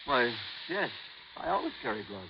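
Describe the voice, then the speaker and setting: An actor playing a fussy, nervous society gentleman under suspicion in a 1950s radio drama, prim and affected. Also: mid-range voice, big pitch swings, normal speed, vocal fry. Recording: muffled, noisy vintage 1950s radio recording.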